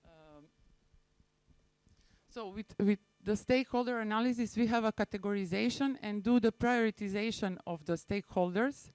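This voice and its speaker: Clear-voiced Serbian-accented woman presenting, haltingly. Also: mid-range voice, a little mellow, no echo. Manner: hesitant, halting, repeats and restarts phrases. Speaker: conference presenter, maritime spatial planner. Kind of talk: prepared conference presentation.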